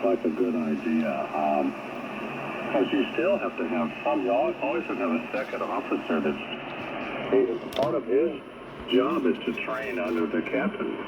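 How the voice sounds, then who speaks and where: An American man chatting casually as an amateur radio operator over shortwave, speaking in a halting, pondering way. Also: deep voice, big pitch swings, creaky. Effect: shortwave single-sideband radio transmission. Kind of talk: casual on-air radio chat. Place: heard through a shortwave receiver speaker. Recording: narrowband shortwave radio with static noise.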